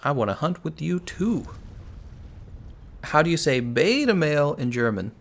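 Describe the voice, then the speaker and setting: A man's voice: fairly high pitch, big pitch swings, normal speed. A young man with an American accent, chatting casually on a game stream, answering viewers and laughing often. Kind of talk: casual live-stream commentary.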